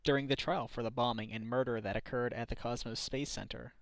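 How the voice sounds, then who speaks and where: A man's voice: medium pitch, fairly even intonation, a little fast, vocal fry, no echo, natural voice. A man playing an earnest, troubled defense lawyer and father in a game fan dub, brooding and self-doubting. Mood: troubled, regretful. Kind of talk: dramatic visual novel dubbing. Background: background music.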